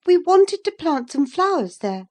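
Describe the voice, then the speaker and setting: A woman's voice: lively intonation, measured pace, a little mellow. Woman playing a defiant, reckless young woman. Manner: bold, high-pitched, taunting.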